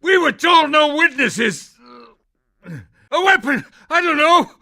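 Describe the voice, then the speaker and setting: An actor playing a terrified but defiant criminal thug in a video game, frantic and pleading as he shouts. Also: very high voice, sing-song, slow, creaky, full character voice.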